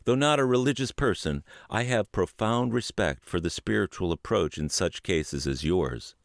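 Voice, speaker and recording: A mature man with a deep, low-pitched voice reads as an audiobook narrator. His phrasing is measured and deliberate. The sound is dry, with no room echo. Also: animated, gravelly. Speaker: audiobook narrator and podcast host.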